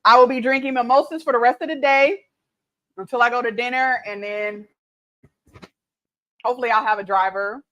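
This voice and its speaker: Chatty high-voiced woman in her late thirties. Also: fairly even intonation, moderate pace, long pauses, a bit bright. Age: late 30s. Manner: chatty, laughing, reads viewer comments aloud. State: tipsy from mimosas. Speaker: cooking livestream host. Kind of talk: casual livestream chat.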